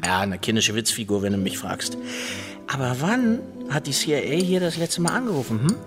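An ambitious, self-important husband in a radio play, acted by a man. He confides and boasts eagerly in a medium-pitched voice, with music clearly behind him. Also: lively intonation, a little fast, natural voice. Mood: confiding, proud. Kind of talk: radio drama acting.

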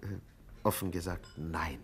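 Deep-voiced, hesitant doctor. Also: male voice. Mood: grave, hesitant. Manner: halting, deliberate, formally polite. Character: courteous, hesitant, grave male doctor. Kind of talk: 1950s German radio play acting.

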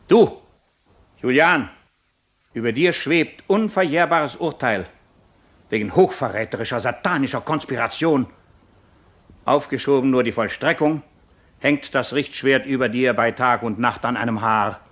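Cold merciless official, radio drama, formal declaiming, middle pitch. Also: male voice, a bit bright. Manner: formal, measured, severe. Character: stern, merciless authority pronouncing a sentence.

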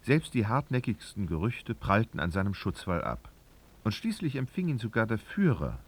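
A man narrating a literary radio drama in a husky voice, with dramatic, sardonic storytelling. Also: lowish voice, big pitch swings, normal speed.

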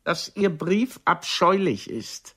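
A woman playing a proud, bitter, sharp-tongued aging stage actress in a radio drama, with a haughty, theatrical delivery. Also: on the low side, sing-song, slow pace, slightly rough, full character voice. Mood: indignant. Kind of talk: radio drama dialogue acting.

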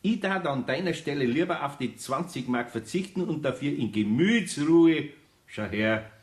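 A man's voice: on the high side, animated, moderate pace, lightly acted. An actor playing a good-hearted, grumbly older master craftsman in a German radio play, plain-spoken with a Bavarian accent. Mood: kindly, amused. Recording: roomy studio echo.

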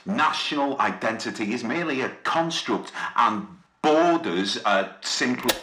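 A man's voice: medium pitch, lively intonation, a little slow, pushed and bright. A man narrating a scripted drama as a storyteller, recorded with a roomy sound.

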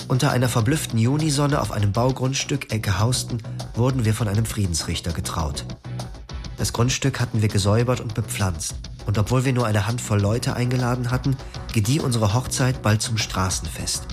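An actor in his twenties playing a brooding young husband who narrates calmly and reflectively, in a radio play with music underneath. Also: mid-range voice, a little fast, lightly acted. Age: 20s. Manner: calm, reflective, poetic narration.

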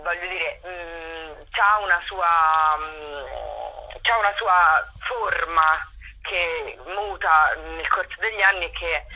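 A woman in a casual interview, speaking in a rambling, halting way with self-deprecating irony, as a comic performer. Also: on the low side, bright, forceful tone, lo-fi sound, slight background noise. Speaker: comic actress and writer, interview guest.

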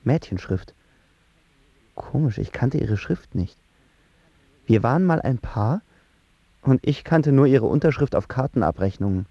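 A man's voice: medium pitch, animated, unhurried, vocal fry, long pauses, warm tone, dry recording, muffled recording. An actor playing a moody, lovesick young writer who tells his story in a radio drama. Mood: subdued, reflective. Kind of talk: radio drama acting, first-person narration. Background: guitar music.

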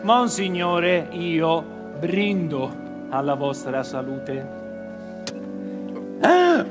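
A man's voice: fairly high pitch, very animated, extremely slow, full character voice. An actor playing a pompous, flustered Pope in a theatrical radio comedy, stammering and blustering as he repeats his words. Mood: unctuous. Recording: radio drama with loud music.

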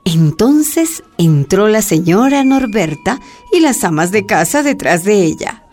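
A woman's voice: medium pitch, big pitch swings, unhurried, darkish tone. A woman giving dramatic scripted narration for a radio drama.

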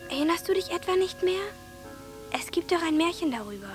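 Caring, high-voiced village girl. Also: lively intonation, fairly quick. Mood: hushed, gentle. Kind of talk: German radio drama acting. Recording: studio radio drama with music.

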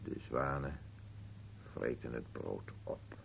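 Gravelly, deep, grumbling old husband. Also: male voice, slow, a little hiss or hum, exaggerated voice. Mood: bleak, matter-of-fact. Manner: grumbling, contrary, blunt. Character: stubborn, bitter old husband on a park bench. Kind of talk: dialogue in an absurdist radio play.

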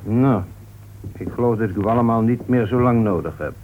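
Actor as low-voiced, composed police inspector, old radio. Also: male voice, close to an everyday voice. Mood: calm, firm. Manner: courteous, unhurried questioning. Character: composed, politely insistent male police inspector. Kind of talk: Dutch radio play acting. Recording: noisy, phone-like old radio recording.

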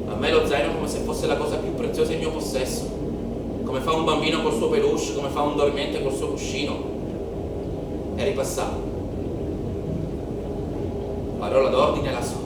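A man acts out a young street rioter in a theatrical monologue, chanting his account in obsessive lists. His voice sits fairly high and rings through a very reverberant space. It is picked up from a distance under clear background noise. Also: natural voice. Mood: tense, focused. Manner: incantatory, repeating phrases in lists. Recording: echoey, noisy live theatre recording from afar.

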